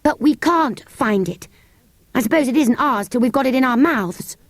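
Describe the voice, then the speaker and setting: A small, anxious British schoolgirl from a storybook, played by a woman. She speaks earnestly and plaintively, pitched high, with thoughtful delivery. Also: expressive, moderate pace, no echo. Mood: doubtful.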